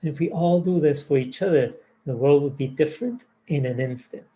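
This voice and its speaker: Earnest man, mellow voice. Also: on the high side, low-quality recording. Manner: earnest, slows down to stress key points. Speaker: presenter. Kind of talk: motivational talk.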